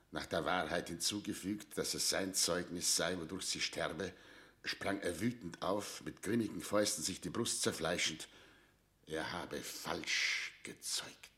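An actor playing a grave, loyal nobleman who speaks in solemn, measured classical verse for a radio drama. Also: low voice, a bit bright. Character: grave, loyal, anxious English noble counsellor.

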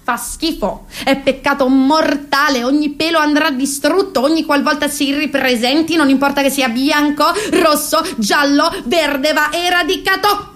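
A woman of about thirty with a Roman Italian accent performs a satirical monologue in an expressive, exasperated, ironic way. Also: high voice, a little slow, hardly any pauses. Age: around 30. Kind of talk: theatrical satirical monologue on radio.

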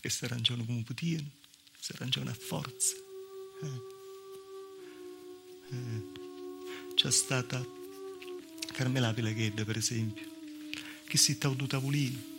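A man's voice: medium pitch, fairly even intonation, normal speed, gravelly, pauses often, over music, natural voice. A man with a southern Italian accent playing a wry, plaintive village woman telling her story in a conversational theatrical monologue. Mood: weary, resigned. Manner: conversational, punctuated with rhetorical "eh". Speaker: stage actor. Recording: live theatre recording with noise.

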